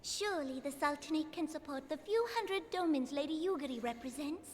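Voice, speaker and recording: A benevolent young-sounding sultana in a video game. Her voice is very high, yet regal and formal. A light layer of noise sits behind her measured words. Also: animated, darkish tone. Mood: earnest, firm. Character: dignified, benevolent female sultana, earnest and regretful.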